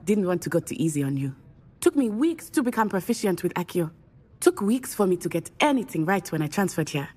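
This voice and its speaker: A woman's voice: fairly low pitch, sing-song, fairly quick, warm tone. A woman voicing a friendly, confident teenage witch in a video game, composed and encouraging. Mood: friendly, reassuring. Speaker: student character.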